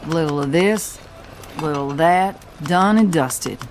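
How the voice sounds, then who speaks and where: A woman's voice: low-pitched, a little slow, faint music behind. A woman voicing a wry, self-reliant cowgirl bounty hunter in a video game, folksy and laid-back. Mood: relaxed musing. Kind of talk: scripted video game dialogue.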